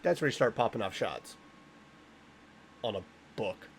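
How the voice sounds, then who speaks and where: A man's voice: lowish voice, animated, measured pace, slight background noise. A man hosting a reaction video indoors, giving casual commentary and exclaiming and musing aloud as he watches. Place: room indoors. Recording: music behind the voice. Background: music from the watched video.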